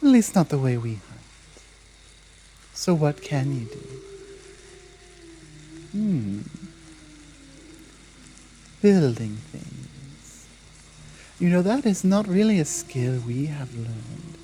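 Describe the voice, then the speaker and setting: A woman voicing a dominant, muscular female werewolf pack leader in a measured, deliberate ASMR roleplay. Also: rumbling low, sing-song, warm tone, a little hiss or hum, voice pushed down, exaggerated voice. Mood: calm, firm.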